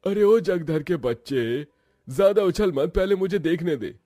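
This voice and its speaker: Male narrator as crooked cartoon goldsmith, brash, scornful, exclamatory, raised pitch. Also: animated, a little slow, mellow, dry recording, studio quality. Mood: impatient. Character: gruff male goldsmith, scornful self-confessed swindler. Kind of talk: cartoon story character voice.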